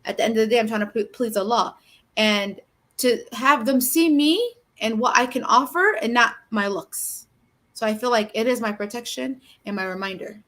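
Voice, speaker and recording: Michigan woman co-host, emphatic storyteller, slightly high voice, casual podcast chat. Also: moderate pace, fairly bright tone. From Michigan, United States.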